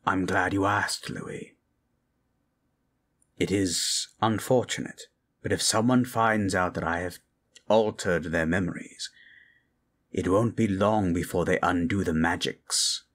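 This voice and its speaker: A man's voice: low voice, slow, long pauses, fairly bright tone, heavily acted. A man playing a grave, ancient lich who speaks in solemn, formal phrases in a fantasy audio roleplay. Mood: grave, intent. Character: grave ancient male lich, stern and scheming mentor.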